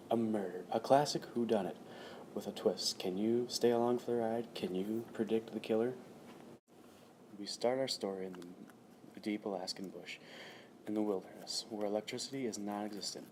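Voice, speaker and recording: Low-voiced male narrator, slightly noisy recording. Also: steady tone, moderate pace. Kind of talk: scripted radio play narration. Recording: noisy amateur recording with background ambience.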